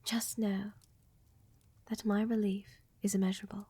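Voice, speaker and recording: Breathy, slow-spoken vampire countess, acted by a woman. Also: mid-range voice, little pitch movement, dry recording. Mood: fond, reassuring. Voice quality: soft, breathy, often whispering. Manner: gentle, reassuring, unhurried, affectionate. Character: tender, doting vampire countess, flirtatious lover. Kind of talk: soft romantic ASMR roleplay. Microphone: close microphone, dry.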